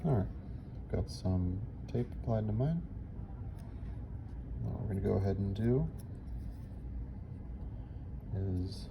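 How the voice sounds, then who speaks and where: A man's voice: on the low side, animated, slow, creaky, long pauses, noisy background. A man giving a casual, unscripted how-to, talking through each step as he works with his hands. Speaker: repair technician giving a tutorial.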